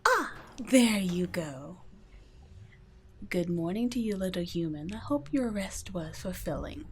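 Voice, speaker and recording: Slow teasing vampire lady scientist, a woman acting her out, patronizing, whispers bits, faint hum behind. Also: fairly low pitch, expressive. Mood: mocking. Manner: teasing, patronizing, soft-spoken with whispered asides. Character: cool condescending female vampire scientist captor. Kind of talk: ASMR audio roleplay.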